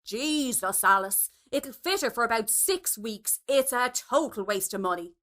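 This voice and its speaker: A woman's voice: fairly high pitch, lively intonation, a little slow, fairly bright tone. Woman acting an exasperated, grumbling husband. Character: exasperated, complaining husband, adult man. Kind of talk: storybook dialogue.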